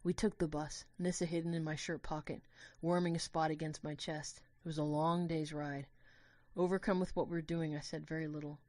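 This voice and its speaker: A woman playing a lovestruck, guilt-ridden young student, earnestly narrating an audio drama in the first person with expressive storytelling. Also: deep voice, a little fast, dry recording, lightly acted. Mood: wistful, anguished.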